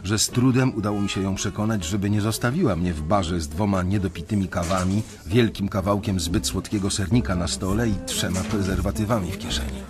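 An actor playing a reflective young banker, narrating his story in measured, literary first person for a radio drama, with a husky voice. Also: low voice, over music, close to an everyday voice.

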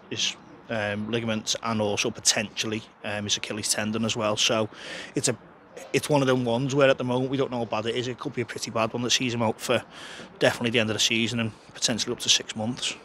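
A man, a football manager giving a frank, chatty post-match interview outdoors by the pitch into a handheld mic, often restarting his sentences. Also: flat delivery, quick pace, pushed and bright, a little hiss or hum. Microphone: handheld interviewer mic held close.

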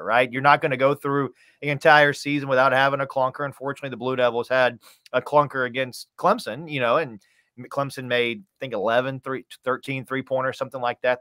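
A man's voice: medium pitch, a bit bright, dry recording. A man in his thirties, a sports announcer, giving conversational analysis emphatically in short, clipped recaps. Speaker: radio play-by-play announcer, podcast guest. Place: indoor room, on a video call.

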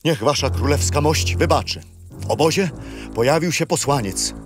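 An actor playing a deferential royal attendant in a war camp, formal and dramatic, in a children's radio play. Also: male voice, a little high, moderate pace, music in the background, lightly acted. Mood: deferential, urgent.

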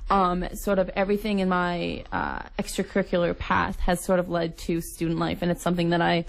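A woman's voice: lowish voice, fairly even intonation, fairly quick, creaky. A young woman from Nova Scotia with a Canadian accent, answering earnestly as a guest in a casual radio interview. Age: young adult. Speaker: guest, incoming student union executive. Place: radio studio.

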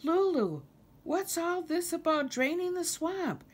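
An earnest, fretful male frog in a storybook as a woman reads him. The delivery is animated, swinging upward, with drawn-out words. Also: extremely high pitch, pitched above the performer's own voice, heavily acted. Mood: alarmed, questioning. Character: earnest young male frog, worried about his home.

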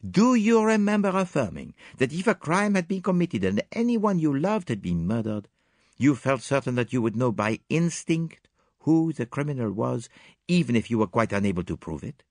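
A man voicing a calm, shrewd male detective in a storybook reading, formal, measured and gently insistent. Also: mid-range voice, no echo, studio quality, voice pushed up. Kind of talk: audiobook character dialogue.

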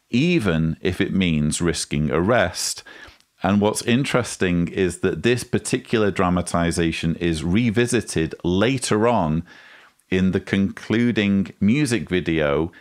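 Very low-pitched man giving commentary. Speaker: host, commentator. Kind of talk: critical video commentary to camera. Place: small indoor room with bookshelves. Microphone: studio mic on boom arm, close.

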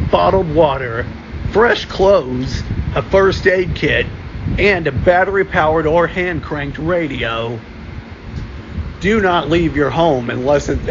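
Male announcer for homemade emergency alerts, formal, slightly high voice, loud background hum. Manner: formal, deliberate, stumbles and repeats phrases. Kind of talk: amateur mock emergency broadcast announcement. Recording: dull lo-fi sound with loud ambient hum.

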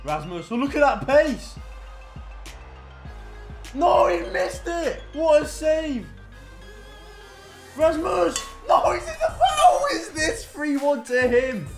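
A young man giving excitable commentary on his own football video game play. He mutters between moves and bursts into loud shouts at goals. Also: very high-pitched, slow pace, long pauses. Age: young adult. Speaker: gaming content creator. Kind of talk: live gameplay commentary. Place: home gaming room indoors. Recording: roomy echo, faint game music behind.

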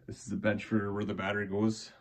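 A young man with a deep voice talks casually in a small wooden shack. His delivery stays flat and even, full of fillers and restarts as he shows the place on a handheld phone. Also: fast. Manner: relaxed, rambling, frequent restarts and fillers. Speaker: vlogger. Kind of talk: casual walkthrough tour. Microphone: handheld phone camera at arm's length.

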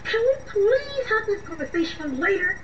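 A woman's voice: very high voice, big pitch swings, normal speed. A woman playing a young woman who hides her lover from her mother, flustered and pleading, her voice cracking. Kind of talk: radio drama acting. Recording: slightly distant, muffled, faint hiss.

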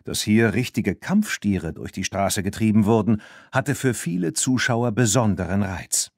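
A man narrating a children's story from a script in an expressive, animated way. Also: fairly low pitch, measured pace, vocal fry, no room sound, studio quality. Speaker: audiobook narrator.

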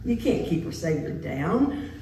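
A middle-aged woman pastor preaching a sermon in a church sanctuary, playful and animated, acting out the voices of biblical figures. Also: fairly low pitch, unhurried. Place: church sanctuary with organ pipes. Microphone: far camera in the pews. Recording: echoey church from a distance.

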